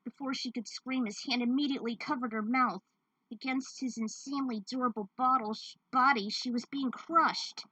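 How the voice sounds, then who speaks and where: Female story narrator: higher register, forceful bright tone, dramatic read-aloud, dry sound. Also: fairly even intonation, moderate pace, dry recording, dull audio. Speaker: fan fiction narrator.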